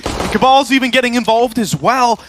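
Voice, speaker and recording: A man's voice: very high-pitched, fairly quick, background noise. A man giving live esports commentary in a flowing, colloquial play-by-play style. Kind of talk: live Counter-Strike match commentary.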